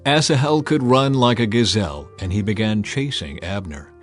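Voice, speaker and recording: A man narrating scripture as a dramatized audiobook, in a measured, solemn storytelling manner. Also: fairly low pitch. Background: music.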